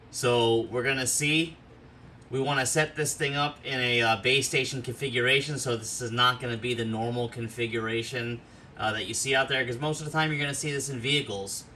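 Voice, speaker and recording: A man's voice: mid-range voice, sharp tone, a little hiss or hum. A man hosting an unscripted product walkthrough, explaining things step by step in an instructional way. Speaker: host of a gear demonstration video.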